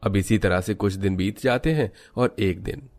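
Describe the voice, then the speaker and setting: A man's voice: fairly low pitch, normal speed, darkish tone, faint music behind. A man narrating a scripted children's cartoon story.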